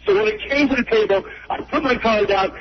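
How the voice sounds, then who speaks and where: Male, self-justifying casual radio talker, rapid, slightly high voice, lo-fi sound. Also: slight background noise. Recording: dull lo-fi radio or phone sound.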